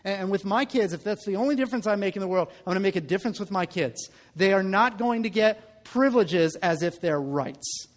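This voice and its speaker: A man's voice: high voice, lively intonation, a little fast, big-room sound. A man in his thirties preaching a sermon as a pastor, in a conversational way, often repeating the first word of a phrase. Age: 30s.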